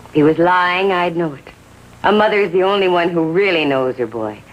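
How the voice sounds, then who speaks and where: A woman's voice: lowish voice, normal speed, lightly acted. An actress playing a doting, trusting mother in 1950s TV drama style, polite and earnest. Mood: earnest, insistent. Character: doting, trusting mother of a teenage gang leader. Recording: dull hissy 1950s TV soundtrack.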